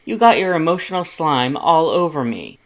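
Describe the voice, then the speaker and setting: A man's voice: fairly high pitch, lively intonation, moderate pace, fairly bright tone, pitched above the performer's own voice. A man voicing a pompous, dramatic male skeleton with a grandiose, formal, over-enunciated way of talking. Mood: offended, dramatic.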